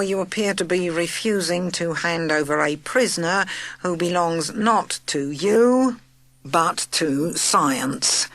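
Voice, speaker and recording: A woman's voice: low voice, vocal fry, fairly bright tone, no room sound, full character voice. A woman reading a storybook, voicing a sinister man who threatens from a tank. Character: sinister smooth male villain in a tank.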